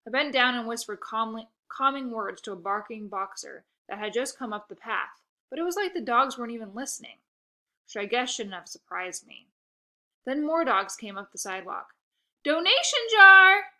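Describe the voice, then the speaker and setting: A woman reading a children's book aloud in an expressive narrating style. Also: fairly high pitch, normal speed. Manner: expressive, occasional stumbles over words. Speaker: storybook reader.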